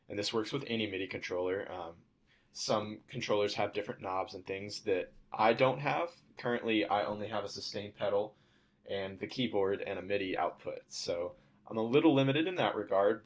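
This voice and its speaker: A young adult man presenting as a student, walking through a software demo in an informal, explanatory way. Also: on the low side. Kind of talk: casual software demo walkthrough.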